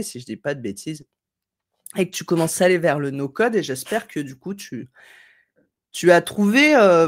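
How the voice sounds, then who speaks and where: A woman with a low voice chats through a live stream from a room at home, picking up viewers' comments. Her talk wanders and is full of small fillers. She sounds slightly stuffed up from a cold. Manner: chatty, digressive, friendly, thinking aloud with fillers. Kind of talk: casual live tutorial, reading chat comments. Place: home room at a desk, indoors.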